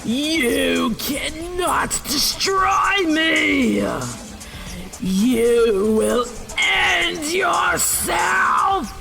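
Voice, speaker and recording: Shrieking, gravelly villainess. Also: female voice, sits high, expressive, drawn-out words, shouting, music in the background, exaggerated voice. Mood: enraged defiance. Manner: shrieking, commanding. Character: defiant villainous female being, enraged, facing banishment. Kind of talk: fantasy audio drama acting.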